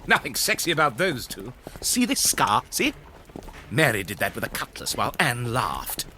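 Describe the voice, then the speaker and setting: An actor playing a vain, blustering privateer captain in a period audio drama, emphatic and quick to take offence. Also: male voice, mid-range voice, sing-song, sharp tone, a little hiss or hum. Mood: scornful, indignant. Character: vain, indignant pirate-hunting sea captain, self-styled privateer hero.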